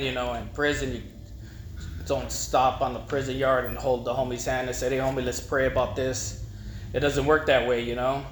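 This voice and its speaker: A middle-aged man gives an informal personal testimony, rambling and haltingly repeating words. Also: medium pitch. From East Los Angeles, United States. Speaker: speaker at a recovery meeting. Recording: echoey room with faint noise.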